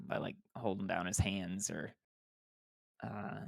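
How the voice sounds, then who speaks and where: A man's voice: low-pitched, steady tone, takes it slowly, gravelly, no room sound, studio quality. A man playing a tabletop game, chatting casually and thinking aloud with some hesitation. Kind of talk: casual chat.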